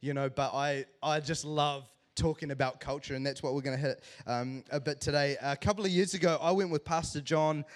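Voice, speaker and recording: A man in his thirties with a New Zealand accent, giving a lively, joking church talk to a congregation. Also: on the high side, brisk, hardly any pauses. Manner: animated, joking, self-deprecating. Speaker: church speaker. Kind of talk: humorous sermon storytelling to a congregation.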